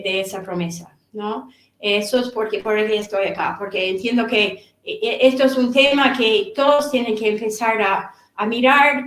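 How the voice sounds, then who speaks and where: Middle-aged woman instructor, fairly high voice, hesitant seminar delivery, roomy echo. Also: fairly bright tone. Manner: halting, repeats words while searching for them. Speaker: author and course instructor. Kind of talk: seminar talk. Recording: echoey room.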